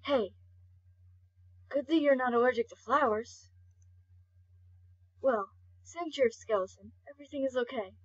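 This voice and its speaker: A woman voicing a friendly, fatherly skeleton gentleman in an amateur comic dub, easygoing and playful. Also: high voice, moderate pace, pauses often, slightly rough, muffled recording. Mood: teasing.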